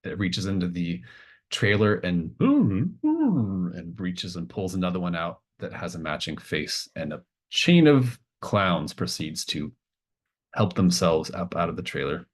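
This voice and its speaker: Low-voiced man running a tabletop game. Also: big pitch swings, unhurried. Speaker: game master. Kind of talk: improvised tabletop game narration and casual chat.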